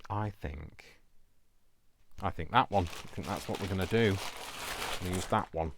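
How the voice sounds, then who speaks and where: Very deep-voiced man chatting. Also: measured pace, a little hiss or hum. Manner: playful, self-deprecating, rambling asides. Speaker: craft video host. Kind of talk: casual chatty craft demonstration.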